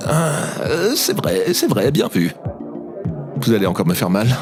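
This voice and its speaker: A man's voice: medium pitch, wildly swooping pitch, unhurried, over music. A man playing a sheepish, evasive former president in a comedic audio drama, hesitant and rambling. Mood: nervously affable.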